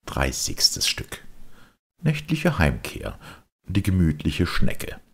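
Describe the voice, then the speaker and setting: A man narrating a children's audiobook as an expressive storyteller. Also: very deep voice, slow, creaky, husky.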